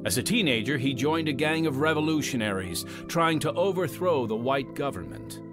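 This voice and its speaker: A man's voice: mid-range voice, lively intonation, moderate pace. A man hosting and narrating an audio drama podcast in a scripted storytelling style. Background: music under the voice.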